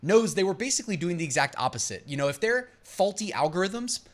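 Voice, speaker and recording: A man's voice: sits high, sing-song, quick pace. A man hosting an advice channel, explaining points in an emphatic, punchy way and mixing read-out lines with his own commentary. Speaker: host of an online seller advice channel. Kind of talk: explainer commentary to camera, part reading out points.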